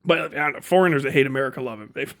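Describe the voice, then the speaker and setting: A man's voice: medium pitch, racing, a bit bright. A man giving off-the-cuff livestream commentary in a blunt, profane, sardonic way. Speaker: live streamer.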